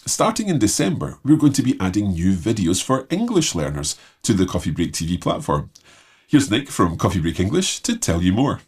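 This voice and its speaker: A man hosting and presenting scripted announcements in a clearly enunciated presenter style. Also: low-pitched, sing-song, normal speed. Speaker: host.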